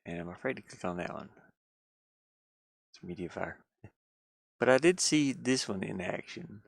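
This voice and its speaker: A man's voice: fairly low pitch, expressive, moderate pace, gravelly, dry recording. A man chatting casually and unscripted on a livestream, rambling and muttering to himself in folksy, colloquial speech. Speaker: livestreaming gamer and hobby game developer living in a van. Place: inside a van.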